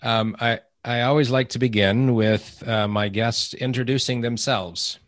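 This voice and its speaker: A man hosting a faith podcast, reading a measured, earnest intro and then chatting with a guest with some hesitant fillers. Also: mid-range voice, fairly even intonation. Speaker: podcast host. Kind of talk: scripted intro then interview. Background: music.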